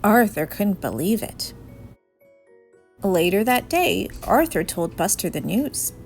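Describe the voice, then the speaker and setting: A woman reading a children's story aloud in an expressive narrating style. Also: mid-range voice, takes it slowly, creaky, soft background music.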